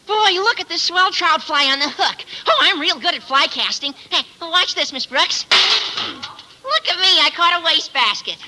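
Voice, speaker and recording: An actor playing an eager, chipper teenage schoolboy with a high cracking voice and flowery, excitable delivery in a 1950s radio comedy. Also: male voice, moderate pace, a little hiss or hum, full character voice, rough recording. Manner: excitable, exaggerated. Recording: old radio broadcast recording.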